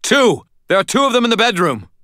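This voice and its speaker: A man's voice: high voice, sing-song, brisk, bright, forceful tone, dry recording, clean studio sound, voice pushed up, heavily acted. A man voicing an injured, desperate wizard hero in an audiobook, urgent, with a strangled, choked voice. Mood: urgent howling.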